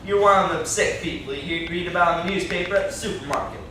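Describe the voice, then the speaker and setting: A man's voice: high voice, quick pace, bright, forceful tone. A young man playing an indignant, blustering country captive in an amateur stage play, with a folksy, complaining, threatening way of talking. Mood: angry, scolding. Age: young adult. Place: classroom-like room, indoors. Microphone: far camera. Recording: echoey room, distant camera mic, faint noise.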